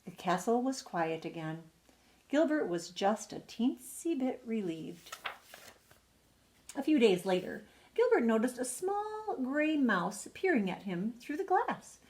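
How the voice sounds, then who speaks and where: A woman's voice: a little high, sing-song, a little slow, darkish tone. An older woman, a retired teacher, reading a children's bedtime story expressively, drawing out words for emphasis. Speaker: retired second-grade teacher.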